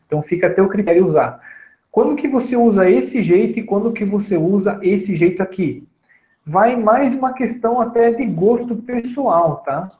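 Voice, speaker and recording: A man in his thirties teaching programming on a screen recording, relaxed and chatty, often checking in with his listeners. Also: sits high, moderate pace, echoey room, poor audio. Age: 30s. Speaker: programming instructor. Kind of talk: screen-recorded coding tutorial.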